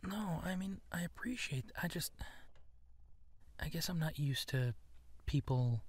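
Slow, hesitant werewolf man acted by a man. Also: on the high side, animated. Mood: tender, worried. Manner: hesitant, halting, soft-spoken with breathy whispers. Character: earnest, insecure young werewolf man confiding in friend. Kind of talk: intimate ASMR roleplay acting.

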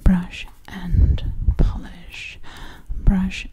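A woman doing ASMR in a breathy whisper, very close to the mic, soothingly repeating words over and over. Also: fairly low pitch, completely flat delivery, highs cut off. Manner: slow, soothing, hypnotic repetition of words. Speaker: ASMR video creator. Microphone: very close ear-to-ear microphone.

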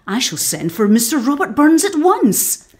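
Imperious, high-voiced proud lady. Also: very animated. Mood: moved, excited. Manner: imperious, declamatory, deliberate. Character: strong-minded, proud, aristocratic middle-aged Scottish widow. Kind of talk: radio drama acting.

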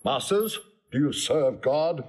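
An actor playing a pompous, bumbling old constable with a creaky voice, in broad Shakespearean comedy. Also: male voice, a little high, expressive, takes it slowly. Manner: pompous, self-important, blustering. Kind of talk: broad comic Shakespearean acting.

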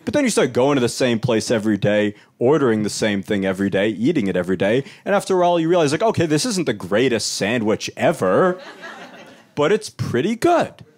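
A young man performing a stand-up comedy set on a club stage, wry and animated, with laughter from the audience. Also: a little fast. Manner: wry, animated, jumps into high-pitched voices for quoted people.

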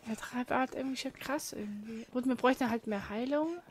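A woman's voice: fairly high pitch, brisk, a little hiss or hum. A woman chatting casually as co-commentator during a video game session. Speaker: let's play co-commentator. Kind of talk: casual chat during gameplay. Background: video game music.

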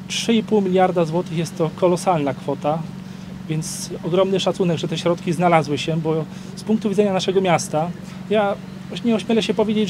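Middle-aged male local official, high voice, earnest press remarks, clear street noise behind. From Poland. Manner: earnest, emphatic, some restarts. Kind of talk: press statement. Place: outdoor town square. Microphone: cluster of press microphones on stands.

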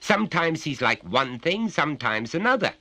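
Storybook tyrant father, pompous and menacing, read by a man, pitched up and lively. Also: moderate pace, no echo, full character voice. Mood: lecturing. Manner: lecturing, condescending, menacing. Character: pompous overbearing middle-aged father.

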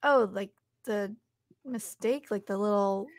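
A woman's voice: mid-range voice, slow pace, no echo. A young woman from Canada chatting playfully as an interview guest, giggly and musing aloud, from a home studio. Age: young adult. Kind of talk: casual playful chat. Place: indoor home studio, on a video call.

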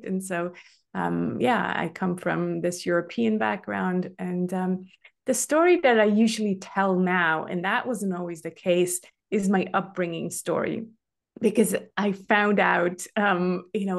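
A woman's voice: medium pitch, vocal fry. A middle-aged woman from Switzerland, a guest telling her story in a casual conversation on a video call, from a room at home. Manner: chatty, open storytelling with frequent fillers. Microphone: webcam on a video call.

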